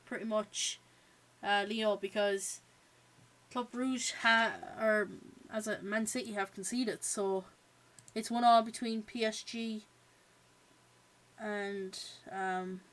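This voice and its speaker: A chatty woman with an Irish accent, hosting a live stream and reacting to a match as it plays. Her voice sits at a middle pitch for a woman. She talks loosely, pads her sentences with "um" and "yeah", and stops often to thank viewers. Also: steady tone, takes it slowly, fairly bright tone, slight background noise, poor audio. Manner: chatty, with frequent "um" and "yeah" fillers; reads chat aloud and thanks viewers. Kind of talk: live watch-along chat and match reactions.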